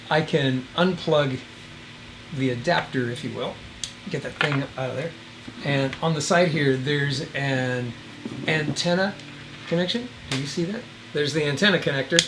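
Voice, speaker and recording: A man's voice: on the high side, measured pace, long pauses. A man casually demonstrating gear outdoors, thinking aloud in a folksy, rambling way, with some wind around him. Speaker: radio reviewer and video host. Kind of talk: casual hands-on gadget demo. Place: outdoors, windy.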